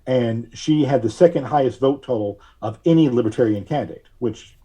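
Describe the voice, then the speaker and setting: A man speaking as a podcast guest, giving conversational political commentary in a measured, wry, halting way. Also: medium pitch, mellow, breathy edge, lo-fi sound.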